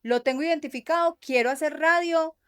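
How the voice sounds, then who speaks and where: A woman with a Colombian accent hosting a casual interview, engaged and quick to interject with follow-up questions. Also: sits high, a bit bright. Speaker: interviewer, host.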